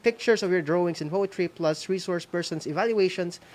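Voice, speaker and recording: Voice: mid-range voice, fairly quick, a little mellow. A Filipino radio-school co-host speaking casually and hesitantly, giving reminders to participants and often tagging phrases with "no?". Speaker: co-host of a school-on-the-air program.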